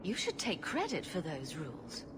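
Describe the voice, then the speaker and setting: A woman playing a sarcastic, quick-witted rogue adventurer with a flippant manner in a video game. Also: fairly low pitch, wildly swooping pitch, noisy background. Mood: wry. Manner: wry, flippant. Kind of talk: video game dialogue acting.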